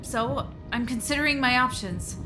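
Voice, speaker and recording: A woman's voice: sits high, moderate pace, pushed and bright, soft background music, poor audio. An actress playing a conflicted, evasive wife and mother in a musical audio drama, speaking in a hesitant, dry, guarded way. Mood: conflicted, pleading.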